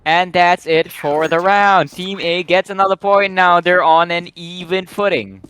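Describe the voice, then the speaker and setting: Male game caster, high voice, slightly croaky, excitable rambling delivery. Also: unhurried, soft background music. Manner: excitable, rambling, filler-heavy play-by-play. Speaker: esports caster. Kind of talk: live play-by-play game commentary.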